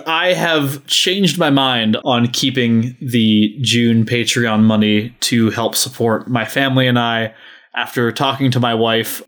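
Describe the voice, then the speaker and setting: A man's voice: medium pitch, fairly bright tone. Guy hosting a podcast, just chatting through announcements, regular mid-range voice. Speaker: podcast host. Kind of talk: casual podcast chat and announcements.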